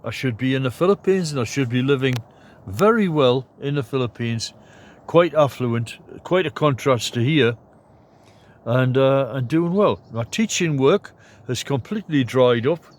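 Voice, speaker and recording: A man in his seventies talking casually to camera in a rambling, chatty vlog, clearing his throat now and then, inside a large cabin. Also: mid-range voice, lively intonation, normal speed. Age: 70s. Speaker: vlogger.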